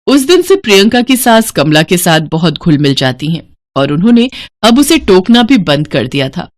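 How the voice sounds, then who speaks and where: A woman's voice: on the low side, very animated, moderate pace. Woman narrating a moral story.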